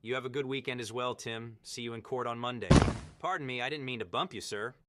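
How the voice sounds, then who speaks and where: A man's voice: mid-range voice, quick pace, very noisy, close to an everyday voice. A man playing a self-assured, insistent lawyer in an audio horror drama. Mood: polite. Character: cocky, indignant male defense lawyer arguing his case.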